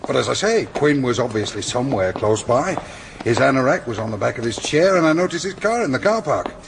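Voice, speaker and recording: An actor playing an opinionated male university lecturer, articulate, measured and slightly catty, in a radio drama. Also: medium pitch, big pitch swings, creaky, a little hiss or hum. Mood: explaining. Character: opinionated male Oxford academic from Yorkshire. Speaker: university lecturer being questioned. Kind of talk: naturalistic radio drama acting.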